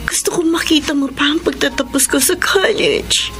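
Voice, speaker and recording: Woman acting a high-voiced, devoted young daughter. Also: moderate pace, over music, lightly acted. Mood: tearful pleading. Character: devoted tearful college-age daughter. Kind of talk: emotional radio-style drama acting.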